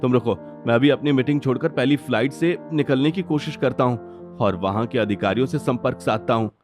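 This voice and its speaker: A man's voice: medium pitch, fairly quick, darkish tone, soft background music, voice pushed up, natural voice. Alarmed young husband, acted by a man, raised voice. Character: alarmed businessman husband, protective, urgent.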